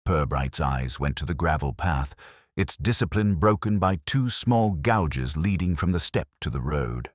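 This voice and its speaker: An extremely deep male voice reads mystery fiction as a measured narrator. The delivery moves widely in pitch, with a slightly husky grain and a very dry, close sound. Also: animated, no echo. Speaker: audiobook narrator. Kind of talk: scripted literary mystery narration.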